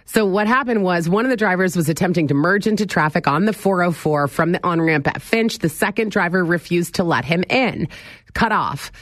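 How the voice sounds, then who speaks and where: A woman's voice: lowish voice, brisk, no room sound. Chatty woman radio host, dry studio. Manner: chatty, animated, talks to listeners directly. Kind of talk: morning radio talk show. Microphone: studio microphone.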